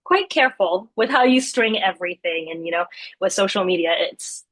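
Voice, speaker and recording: A woman's voice: mid-range voice, expressive, normal speed, fairly bright tone, dry recording. A young adult woman from Singapore, a guest in a casual interview, talking thoughtfully and laughing often.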